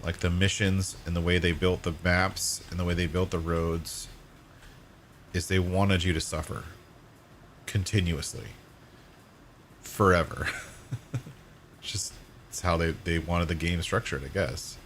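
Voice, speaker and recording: A man with a hoarse voice, chatting casually and rambling while streaming a game. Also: sits low, unhurried, long pauses, a little hiss or hum. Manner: rambling, self-correcting, relaxed. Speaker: gaming streamer. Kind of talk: casual live-stream chat while playing.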